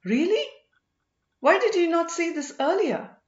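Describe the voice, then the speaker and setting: A woman's voice: extremely high pitch, big pitch swings, a little slow, voice pushed up, exaggerated voice. A woman voicing an ardent, grateful young king in exile with earnest, formal speech, as in a storybook. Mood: eager, insistent. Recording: roomy, slightly dull home recording.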